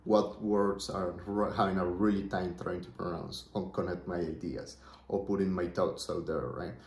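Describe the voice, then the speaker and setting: Low-voiced colombian guy rambling about business, says "right?" a lot, echoey room. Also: fairly even intonation, normal speed. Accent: Colombian Spanish. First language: Spanish. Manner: rambling, keeps asking "right?". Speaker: small business owner vlogger. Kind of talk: casual unscripted monologue. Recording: roomy echo.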